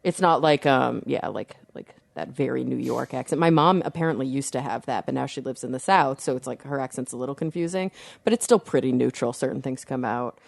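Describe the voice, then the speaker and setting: A woman's voice: very deep voice, fairly quick, vocal fry, darkish tone, dry recording. A woman with a neutral American accent, chatting animatedly as a podcast co-host and laughing easily. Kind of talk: casual panel chat.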